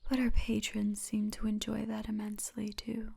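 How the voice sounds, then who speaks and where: A woman's voice: mid-range voice, monotone, moderate pace, creaky, darkish tone, husky. A woman playing a poised, seductive orc tour guide in an ASMR fantasy roleplay, teasing and often half-whispering. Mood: caring.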